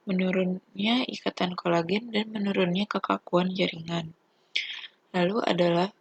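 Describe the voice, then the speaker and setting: A woman's voice: on the low side, creaky, breathy edge, no room sound. A young woman with an Indonesian accent giving a formal, methodical student presentation. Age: young adult. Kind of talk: student presentation explaining a topic.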